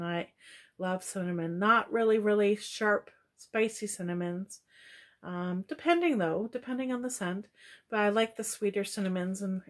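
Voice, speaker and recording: A middle-aged woman chatting casually through a product review, tired and slowing down, in a small dim room at her webcam. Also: mid-range voice.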